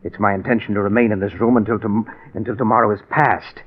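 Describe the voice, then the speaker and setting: A man's voice: on the low side, steady tone, quick pace, lightly acted. An actor playing a haunted man obsessed with cheating death, in an agitated, theatrical 1940s radio drama style. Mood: guarded, tense. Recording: muffled, band-limited old 1940s radio recording.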